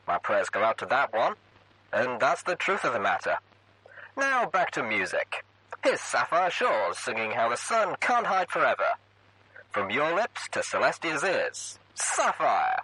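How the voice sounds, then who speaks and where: An upbeat pony radio DJ of a post-apocalyptic storybook , played by a man, pitched up high and showy. The announcer patter swings widely in pitch, in a dry recording. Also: wildly swooping pitch, moderate pace, bright, forceful tone, slightly rough, no room sound, full character voice, low-quality recording. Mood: solemn showmanship. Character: upbeat male pony radio DJ, smooth wasteland broadcaster.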